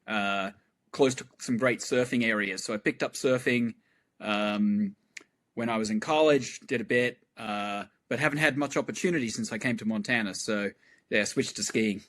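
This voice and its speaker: Relaxed man chatting. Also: on the low side, normal speed. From Australia. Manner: relaxed, rambling with fillers. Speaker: guest astrophysicist. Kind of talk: casual chat.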